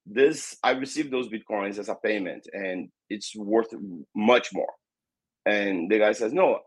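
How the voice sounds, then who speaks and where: A Brazilian man in his fifties, a native Portuguese speaker with a Brazilian accent, telling stories as an interview guest, lively and acting out the dialogue. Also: mid-range voice, slightly rough, no room sound, poor audio. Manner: animated, acts out dialogue, swears casually. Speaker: guest, tech company founder. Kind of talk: casual storytelling interview.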